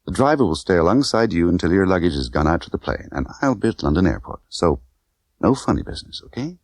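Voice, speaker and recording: A man's voice: deep voice, very animated, fast, darkish tone, no room sound, clean studio sound. A man voicing a tough, condescending young woman smuggler who talks in clipped, bossy slang. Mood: brisk, commanding.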